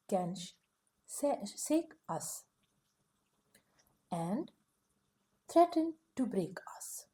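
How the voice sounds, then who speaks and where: A woman gently reading a bedtime picture book aloud, soft and soothing with a sing-song rhythm. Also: medium pitch. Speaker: storyteller.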